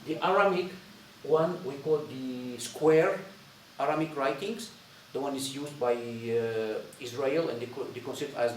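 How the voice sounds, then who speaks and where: Male lecturer, Syrian accent, native Arabic speaker, halting informal delivery; roomy echo, faint noise. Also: a little high, far from the mic. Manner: halting, repetitive phrasing with searching pauses.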